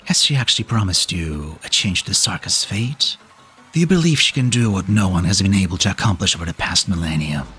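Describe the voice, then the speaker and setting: A very low-voiced, ancient immortal man, played by a male voice actor, weary and cryptic. His breathy, reflective delivery moves widely in pitch, with the wry air of a game drama. Music plays behind him. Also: expressive, brisk, bright, forceful tone, full character voice. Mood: wistful, weary. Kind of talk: fan-dub game drama acting. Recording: background music under the voice.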